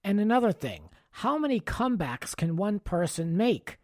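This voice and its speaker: A man's voice: sits high, sing-song, moderate pace. A man hosting a podcast, giving a casual, exasperated and opinionated fan commentary peppered with "like". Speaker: podcast host. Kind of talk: casual pop-culture commentary rant.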